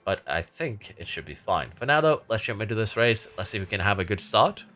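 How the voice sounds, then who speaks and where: A man with a congested, nasal voice giving casual, analytical commentary as the host of a gaming video, in a measured conversational way. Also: lowish voice, very animated, bright, forceful tone, faint music behind. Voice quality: nasal, stuffy-nosed. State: stuffy nose from congestion.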